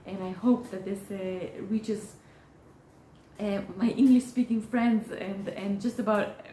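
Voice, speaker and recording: Mellow, earnest woman. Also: mid-range voice, fairly even intonation, slight background noise. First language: Hebrew. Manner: earnest, encouraging, halting with frequent restarts. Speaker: therapist. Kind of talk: direct-to-camera advice talk. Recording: roomy echo.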